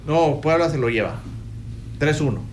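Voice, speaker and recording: A man's voice: fairly high pitch, moderate pace, a little hiss or hum, poor audio. A man on a football radio panel, hesitant and searching for his words. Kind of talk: casual football talk show.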